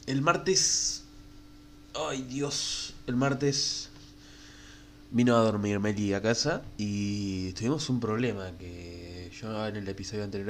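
Congested young Argentine man, lowish voice. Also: slight background noise. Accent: Rioplatense Spanish. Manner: rambling, crude and frank, many fillers. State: stuffy nose from a cold, coughing. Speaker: podcast host. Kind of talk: casual solo podcast monologue.

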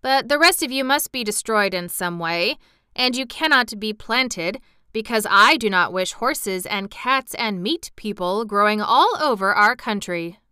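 A woman's voice: mid-range voice, animated, a little slow, sharp tone, clean tone, dry recording, clean studio sound. A woman voicing a cold, imperious male prince of a plant people in a storybook reading, speaking formally and haughtily.

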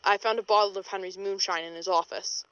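A woman's voice: medium pitch, fairly even intonation, fast talker, fairly bright tone, clean tone, dry recording, lo-fi sound, lightly acted. A woman playing a hard-boiled, world-weary female paranormal investigator in a 1920s noir audio drama, with wry, slangy delivery. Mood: brisk, wry. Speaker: investigator and narrator. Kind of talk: audio drama, noir-style recorded case statement.

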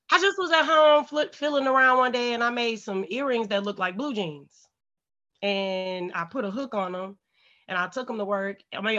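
A woman from St. Louis chats on a podcast in a raspy, congested voice. She tells her stories bluntly and animatedly, with plenty of swearing. The audio sounds a little dull and muffled. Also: medium pitch. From St. Louis, USA. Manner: blunt, profane, animated storytelling. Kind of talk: casual, candid podcast chat.

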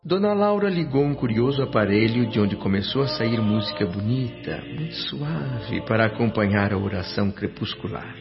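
Low, reflective Brazilian man narrating. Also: animated, normal speed, few pauses. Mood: awed, grateful. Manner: reflective, earnest. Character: grateful, wonder-struck doctor newly in afterlife, narrating. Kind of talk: radio drama narration. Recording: muffled radio drama with music.

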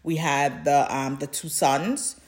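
A woman with a low-pitched voice talks casually as a podcast co-host. She is playful and emphatic, informal in her wording, and the room adds noticeable echo around her. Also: slow pace. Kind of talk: casual chat about audio dramas. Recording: roomy, echoey sound.

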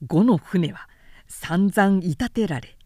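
Slow, expressive woman narrating a historical novel. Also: mid-range voice, no echo, clean studio sound. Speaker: narrator reading literature aloud.

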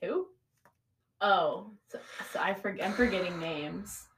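A woman's voice: medium pitch, expressive, takes it slowly, fairly bright tone, far from the mic, a little hiss or hum. A young woman co-hosting a podcast in casual comic chat with a friend, giggly and exclamatory, full of slang. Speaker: podcast co-host.

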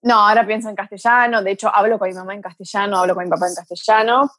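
A woman. She rambles through her answers with fillers in a middle-pitched voice. The sound is dry and close, with no room echo. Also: fairly even intonation, very fast, a bit bright. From Brazil. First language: Portuguese. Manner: chatty, thinks aloud with fillers. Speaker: guest, mentor to mothers. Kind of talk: casual interview chat.

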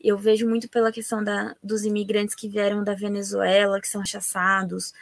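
Brazilian woman, podcast host, emphatic and lively, gravelly and dry. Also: medium pitch, brisk, no room sound. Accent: Brazilian Portuguese. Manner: animated, emphatic, often exclaims "gente". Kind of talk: casual podcast interview.

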